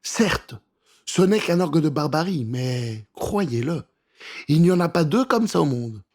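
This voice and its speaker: A man's voice: a little high, expressive, a little slow, a little mellow. A man voicing a jolly old eccentric professor with a theatrical, riddling delivery in a children's audio story. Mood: mysterious.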